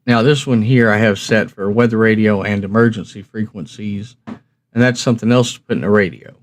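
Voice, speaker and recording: A man's voice: lowish voice, brisk, slight background noise. A man hosting a review channel, chatting casually and instructively to viewers in a rambling, folksy, plain-spoken way. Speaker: host of a radio review channel.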